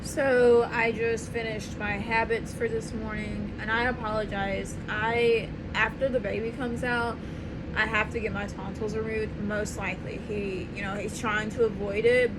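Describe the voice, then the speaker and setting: A woman in her twenties, hoarse and raspy from swollen tonsils, talking earnestly and rambling in a casual home vlog. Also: mid-range voice, level tone, unhurried. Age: 20s. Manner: earnest, rambling, repeats herself. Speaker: vlogger. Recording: home recording with clear background noise.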